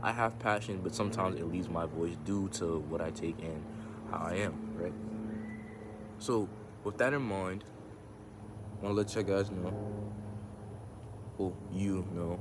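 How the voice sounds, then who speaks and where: Male vlogger, deep voice, casual and reflective, phone mic outdoors, slight background noise. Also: measured pace, long pauses. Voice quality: can go monotone. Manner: rambling, reflective, many restarts and filler. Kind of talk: casual talk to camera. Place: outdoors in the sun. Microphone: phone camera.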